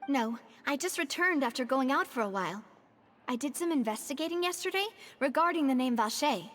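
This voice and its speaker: A woman playing a spirited, determined young woman leader in a video game, with a polished, expressive delivery. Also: high-pitched, brisk.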